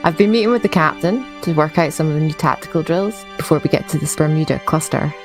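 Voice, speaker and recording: A woman plays a conscientious starship security chief with a low voice, dictating her log in a dry, informal way. She is easily exasperated, and music plays clearly beneath her in this sci-fi audio game. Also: fast talker, lightly acted. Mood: measured, reflective. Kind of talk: in-character scripted log narration. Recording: music under the voice.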